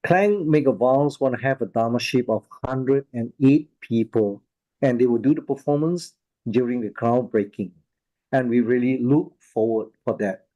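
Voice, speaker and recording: A man with a Malaysian accent giving an informal talk with personal anecdotes, in halting, repetitive English phrasing. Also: medium pitch, warm tone, muffled recording. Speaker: volunteer speaker at an online Buddhist charity talk.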